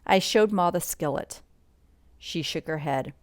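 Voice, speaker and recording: A woman reading a children's bedtime story aloud in an expressive read-along style, sometimes commenting as she goes. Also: fairly low pitch, measured pace, no room sound. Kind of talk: bedtime story read-along narration with asides about pictures.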